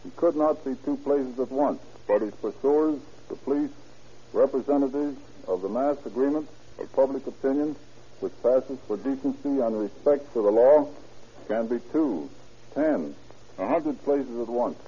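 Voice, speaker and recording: A man with a very dark, rough-edged voice reads a formal address as a police captain on 1930s radio. He sounds solemn, stiff and moralizing. The old recording is muffled. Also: mid-range voice, moderate pace. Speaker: police captain, guest speaker.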